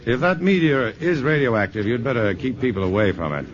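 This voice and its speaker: A man's voice: medium pitch, big pitch swings, brisk, close to an everyday voice. An actor playing a polite, reserved male scientist in a 1950s radio drama. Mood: thoughtful, courteous. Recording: dull old radio recording, faint music.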